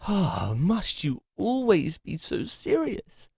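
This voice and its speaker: Brief: man, theatrical creepy butler type, lively swooping pitch, dry close mic. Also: slow pace, creaky, husky, no room sound. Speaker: servant called Happiness greeting a captive. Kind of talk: ASMR roleplay acting.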